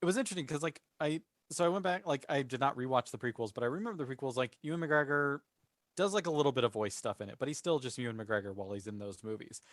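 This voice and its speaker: A man's voice: mid-range voice, fast, no room sound, clean studio sound. A man hosting a casual panel chat, talking through his points analytically with many asides. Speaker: podcast host. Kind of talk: casual panel discussion of a TV series.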